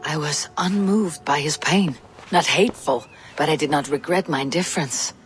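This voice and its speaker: An actress playing a stoic, battle-worn Viking warrior in a video game, telling a tale in a grave, measured way. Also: female voice, low-pitched, faint music behind, close to an everyday voice. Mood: stoic, troubled. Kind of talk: dramatic game dialogue, recounting a tale.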